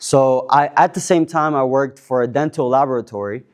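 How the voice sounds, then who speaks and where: Young man, from Cuba, panel guest, casual rambling talk, mid-pitched voice. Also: moderate pace. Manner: relaxed, rambling, many fillers and restarts. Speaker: panel speaker. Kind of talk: casual self-introduction at a panel.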